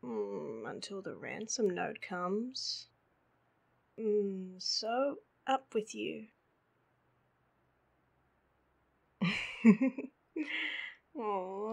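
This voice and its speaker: A sleepy, needy girlfriend played by a woman with an Australian accent, whining playfully at bedtime. Her delivery is slow and drawled, with a creaky, husky voice. It is intimate roleplay audio, spoken right to the listener. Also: medium pitch, exaggerated voice. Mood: sleepy, silly. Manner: drowsy, whiny drawl with sighs and hums.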